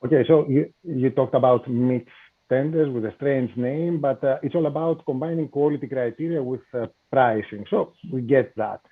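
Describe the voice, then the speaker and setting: A man hosting a business podcast, introducing his guest and asking questions with many "uh" pauses. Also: mid-range voice. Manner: hesitant, many "uh" fillers. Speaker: podcast host. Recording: tinny phone-like call audio.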